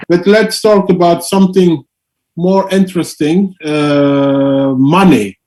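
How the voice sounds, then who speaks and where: Male moderator, unhurried, slightly high, easygoing. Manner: brief affirmations, relaxed. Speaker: host. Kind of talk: moderating a discussion.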